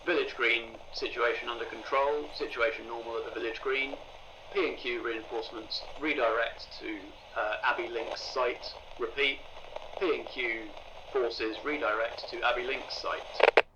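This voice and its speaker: Low clipped dispatcher on a fuzzy dull walkie-talkie put on by a player. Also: fairly even intonation, moderate pace, background noise. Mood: official. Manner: clipped, official radio talk. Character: clipped security radio dispatcher. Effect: walkie-talkie radio.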